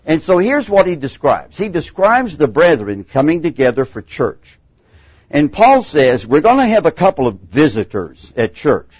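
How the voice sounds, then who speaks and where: A middle-aged Texan man teaches a Bible class, his voice swooping up and down as he acts out the people he quotes. His pitch sits a bit high for a man. The sound is dry and slightly muffled. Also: darkish tone, slightly rough, dry recording. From Texas.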